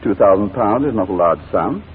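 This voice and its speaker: Fast, smooth radio blackmailer. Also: male voice, lowish voice, animated. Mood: menacing persuasion. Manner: formal, smooth, mockingly courteous. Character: suave wicked male blackmailer, coolly polite and menacing. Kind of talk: 1940s radio drama acting. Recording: noisy, tinny 1940s radio recording.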